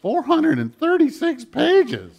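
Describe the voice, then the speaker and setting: An older man preaching a sermon with a children's message, animated and storytelling with a big voice. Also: very high voice, slow, vocal fry.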